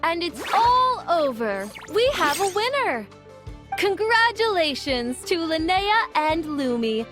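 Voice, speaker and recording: A woman's voice: very high voice, very animated, slow pace, a bit bright, faint music behind, full character voice. A woman playing a formal tournament announcer in a video game, proclaiming results.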